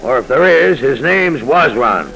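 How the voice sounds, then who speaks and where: A man's voice: mid-range voice, lively intonation, unhurried, a bit bright. An actor playing a brash, cynical American insurance man in 1940s radio style, slangy and blunt. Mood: confiding, cynical. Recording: faintly noisy 1940s radio recording.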